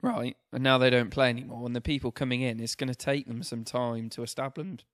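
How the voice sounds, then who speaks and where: A man's voice: medium pitch, steady tone, brisk, no room sound, clean studio sound. A man with a nasal voice, stuffy with a cold, co-hosting a casual podcast chat and joking a lot. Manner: jokey, laughs often, says mate. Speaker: podcast co-host.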